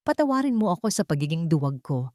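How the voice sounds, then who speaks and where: A woman's voice: deep voice, big pitch swings, quick pace, warm tone, no room sound, studio quality. A Filipino woman storyteller voicing a wealthy, wounded woman who speaks with emotional, earnest intensity in a romance story. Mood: remorseful.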